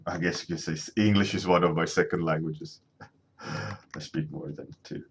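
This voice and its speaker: A man's voice: low voice, normal speed, vocal fry, a little hiss or hum. A man in his thirties, a native Swedish speaker, chatting casually on a painting livestream from his home studio, rambling and restarting his sentences.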